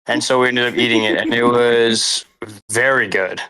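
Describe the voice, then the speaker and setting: A man chatting casually as a guest, excitable and often laughing and cutting in. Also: medium pitch, clean tone, rough recording. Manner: excitable, laughing, quick to interrupt. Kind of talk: casual chat.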